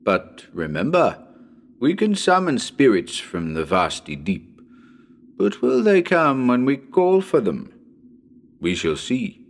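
A man voicing a solemn foreign psychic professor who hunts ghosts, speaking haltingly and portentously in a dramatic horror reading. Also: mid-range voice, huge pitch swings, exaggerated voice. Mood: solemn warning. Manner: halting, deliberate, portentous pauses.

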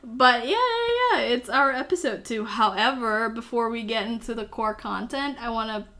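A woman's voice: fairly high pitch, expressive, normal speed, pushed and bright. A young woman from Thailand, a native Thai speaker, co-hosting a podcast in casual chat, giggling often and using many fillers. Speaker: podcast co-host.

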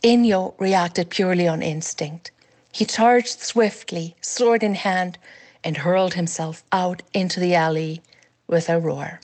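A woman reading a passage from her novel aloud with measured, expressive storytelling. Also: fairly low pitch. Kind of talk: fiction reading.

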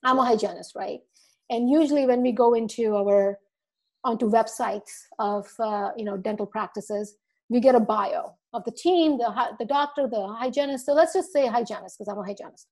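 Middle-aged woman, dental marketing coach, from India via the Virgin Islands; sincere, fairly high-pitched. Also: normal speed, darkish tone. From India, raised in the U.S. Virgin Islands. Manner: earnest, speaks from the heart, acts out quoted dialogue. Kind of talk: casual podcast conversation.

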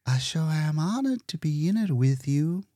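A man's voice: fairly high pitch, expressive, moderate pace, warm tone, no echo, clean studio sound, pitched above the performer's own voice, lightly acted. A man voicing a demure, humble, earnest woman in a storybook reading, shy and folksy in manner. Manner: shy, plain-spoken, folksy.

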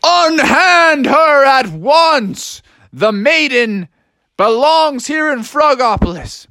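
A man voicing an excitable, brave young frog hero from a children's story, with a bouncy, exclamatory delivery. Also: very high-pitched, takes it slowly, no echo, clean studio sound, voice pushed up, full character voice. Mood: indignant.

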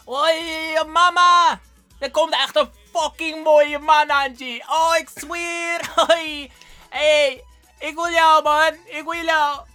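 A man voicing a young village girl and her mother in a high falsetto, giggling and using slang in a funny fairy-tale reading. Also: fairly even intonation, takes it slowly, bright, forceful tone, faint music behind, heavily acted. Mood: giddy, smitten. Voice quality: shrill falsetto. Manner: giggly, exaggerated street slang.

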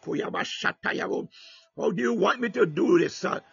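A man's voice: sits high, expressive, fairly quick, gravelly, fairly bright tone, slightly rough, no room sound. A man preaching a fervent sermon with prayer, repeating "my God" between phrases and breaking into tongues. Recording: dull lo-fi recording.

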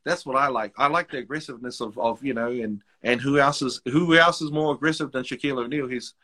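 A man from Wellington with a New Zealand accent, telling stories in casual podcast chat, animated and full of restarts. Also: medium pitch, fast. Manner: animated storytelling, many restarts, slips into quoted voices. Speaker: guest, rapper and basketball MC.

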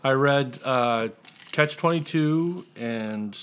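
Middle-aged american guy chatting casually in an interview, medium pitch, telling about his writing gig. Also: slow pace, fairly bright tone, smooth. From Indiana, United States. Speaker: guest, humor columnist.

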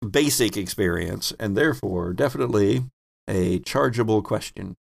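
A man's voice: lowish voice, moderate pace, creaky. A man running a tabletop roleplaying game as game master, talking casually with the players.

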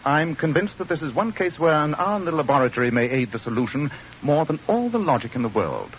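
An actor playing an assured, precise gentleman detective in a radio drama. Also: on the high side, very animated, over music. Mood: assured, analytical. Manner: crisp, deliberate, deductive. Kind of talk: old radio drama acting. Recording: muffled old radio recording, faint noise.

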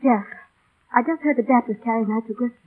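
A desperate woman full of hurt and mistrust. Her voice is high with a rough, breathy edge. The melodramatic scene comes through a narrow, vintage radio recording. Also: fast talker. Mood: worried. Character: desperate, pleading, distrustful wife, emotionally wounded. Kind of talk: 1930s-40s radio melodrama acting.

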